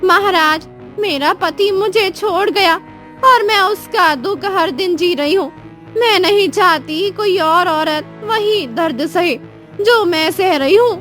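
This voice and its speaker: Female actor as abandoned cartoon wife: very high voice, sorrowful, pleading, forgiving. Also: takes it slowly, a bit bright, faint music behind, full character voice. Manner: pleading, earnest. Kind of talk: Hindi cartoon moral story acting.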